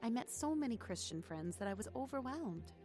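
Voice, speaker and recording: A woman's voice: a little high, very animated, brisk, music in the background. An actress playing an earnest young woman who reflectively narrates her testimony in a radio drama.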